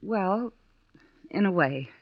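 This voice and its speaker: Radio drama companion: young, deferential, halting, measured pace, dull period recording. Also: female voice, mid-range voice, expressive. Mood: uneasy, guarded. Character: uneasy, polite young female companion, guarded. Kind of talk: old-time radio drama acting.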